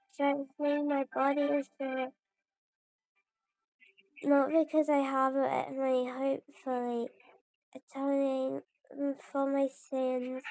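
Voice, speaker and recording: A woman reading video game dialogue aloud in a halting way, often stumbling and correcting herself. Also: high-pitched, creaky, dark tone, dry recording, low-quality recording. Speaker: streamer playing and voicing a video game.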